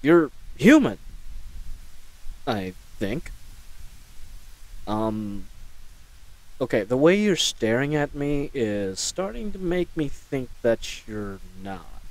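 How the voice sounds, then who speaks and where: A man's voice: mid-range voice, sing-song, slow, pauses often, a little mellow, rough recording. A man playing a flustered, chatty knight in a scripted audio roleplay, rambling nervously and stammering. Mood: wary, indignant. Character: babbling, flustered young male knight caught in a snare.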